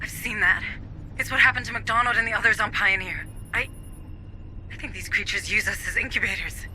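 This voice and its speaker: Techy support officer in a video game, super bright cutting voice, brisk and to the point, faint hum behind. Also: female voice, mid-range voice, low-quality recording. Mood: uneasy, explaining. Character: sharp, technically minded female administrator on mission support. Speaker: remote mission support officer. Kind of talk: video game dramatic acting.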